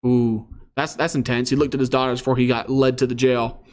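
A man's voice: medium pitch, flat delivery, a little fast, darkish tone. A man hosting online commentary, reading news aloud and reacting casually.

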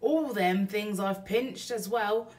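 A woman's voice: mid-range voice, slow pace, recorded from a distance, pitched above the performer's own voice, lightly acted. A woman voicing an exclamatory, colloquial working-class lady burglar in a storybook reading. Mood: remorseful. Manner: colloquial ungrammatical speech, exclamatory.

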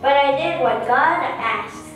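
A woman's voice: high voice, takes it slowly, a bit bright, very echoey. Puppet show prophet voiced by a woman: resigned, self-deprecating, distant mic with music behind. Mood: resigned, amused. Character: overlooked, resigned, plain-speaking prophet puppet. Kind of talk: children's church puppet show.

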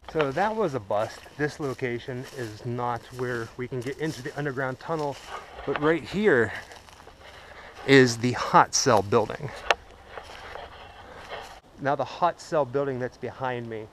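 A man's voice: mid-range voice, slow pace, pauses often. Young guy, chatty explorer vlogger, handheld camera in the woods, noisy audio. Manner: chatty, swears when startled. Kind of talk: casual exploring vlog. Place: woods and dark concrete ruins outdoors. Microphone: handheld action camera.

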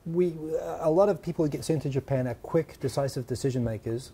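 Brief: male host, middle-aged, husky, dark, playful interviewer. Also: mid-range voice. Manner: lively, joking, quick asides. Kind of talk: casual studio interview. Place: small studio set, seated on a sofa. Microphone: lapel mic.

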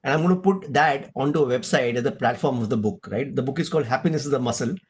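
A middle-aged man moderating a panel on a video call, animated and often adding "right?". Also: medium pitch, quick pace, husky. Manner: animated, gesturing, tag question "right?". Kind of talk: casual panel discussion. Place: room at home with blinds and shelves. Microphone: video call webcam.

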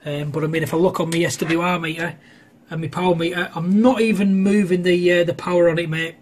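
Male ham radio hobbyist, high voice with a rough husk, casual on-air chat. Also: fairly even intonation, quick pace. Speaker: amateur radio operator filming a test. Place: indoors at a home radio desk.

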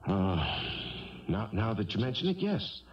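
An actor playing a stubborn, defensive husband, argumentative and evasive, in a 1970s radio drama. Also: male voice, fairly low pitch, big pitch swings, unhurried. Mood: uneasy. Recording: dull vintage radio broadcast recording.

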